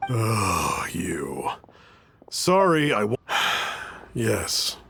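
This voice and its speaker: A woman playing a gentle, hesitant, helpful cook in a video game, speaking haltingly. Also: very deep voice, sing-song, heavy background noise, full character voice. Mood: hesitant, obliging. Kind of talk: game voice acting. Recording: clear music behind the voice.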